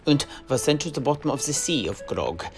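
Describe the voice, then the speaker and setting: A man's voice: mid-range voice, big pitch swings, a little fast, music in the background, close to an everyday voice. A man playing a groggy, gullible warrior in a fantasy audio drama, speaking in a hesitant, theatrical way.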